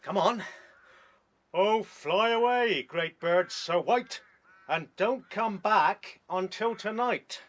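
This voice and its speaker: Bold rabbit fighter, mock-respectful, highish forceful voice, bluff, radio drama. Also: male voice, takes it slowly, a little hiss or hum. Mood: coaxing. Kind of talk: radio play acting.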